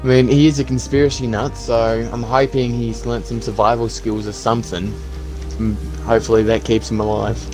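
A practical, hopeful survivor in a zombie game roleplay, played by a man. He thinks aloud in a halting, conversational way at a middling pitch. Music plays behind the voice. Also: little pitch movement, a little mellow, lightly acted, rough recording. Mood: hopeful, reassuring. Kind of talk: improvised game roleplay skit.

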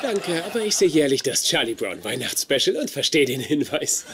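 An actor playing an eager, wry, nerdy grown son in a dubbed sitcom. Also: male voice, on the high side, very animated, moderate pace, a crowd in the background. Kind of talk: sitcom dialogue, German dub.

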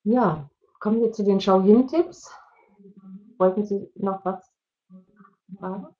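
A woman's voice: mid-range voice, takes it slowly. A middle-aged woman co-hosting an online seminar, explaining advice over a video call. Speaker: webinar co-host and media education adviser. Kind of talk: explanatory webinar talk. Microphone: video-call microphone. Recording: dull lo-fi video-call audio.

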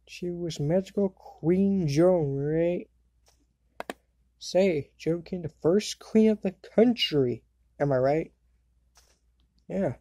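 Woman as a low, bratty cartoon boy. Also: mellow, a little hiss or hum. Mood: earnest. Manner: sneering, exasperated. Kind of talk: amateur cartoon fandub acting.

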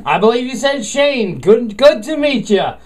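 A man's voice: very high-pitched, very animated, unhurried. A friendly man making casual CB radio contacts, repeating call signs and sign-offs. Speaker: CB radio operator. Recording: thin phone-like CB radio sound, chatter.

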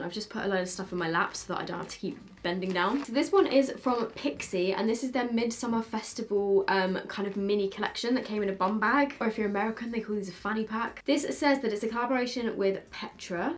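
Chatty young British woman unboxing makeup. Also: fairly high pitch. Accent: British English. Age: 20s. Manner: chatty, thinks aloud, quick asides. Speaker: beauty vlogger. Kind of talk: casual chat, unboxing makeup. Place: bedroom filming setup, indoors.

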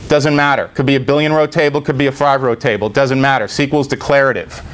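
A middle-aged man giving a live software demo in an informal, step-by-step explanatory way. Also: mid-range voice, fairly even intonation, fast. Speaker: tech presenter. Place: indoor meetup presentation room.

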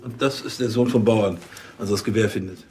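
A man's voice: lowish voice, steady tone, brisk, lightly acted. An actor playing a blustering, evasive policeman in a comic radio drama.

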